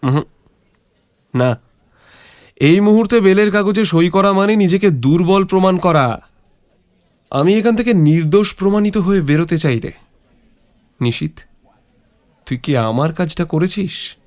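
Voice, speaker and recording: An actor playing a defiant, sharp-minded young prisoner in an audio drama, speaking with deliberate resolve. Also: male voice, high voice, animated. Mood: defiant, soothing. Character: defiant, sharp-minded young man held in police lockup. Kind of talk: audio story acting.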